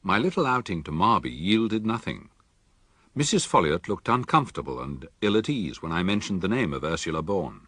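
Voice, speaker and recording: An actor playing a dry, observant country doctor, speaking in a wry, measured way in a mystery radio drama. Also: male voice, fairly low pitch, pushed and bright, no echo, heavily acted. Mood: resigned. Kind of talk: radio drama narration and dialogue.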